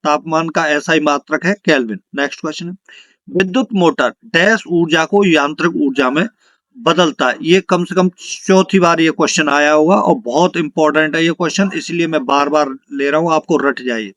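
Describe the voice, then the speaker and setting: A man's voice: on the high side, normal speed, fairly bright tone, no echo. A man teaching an exam lesson, reading out questions and answers and repeating them for emphasis.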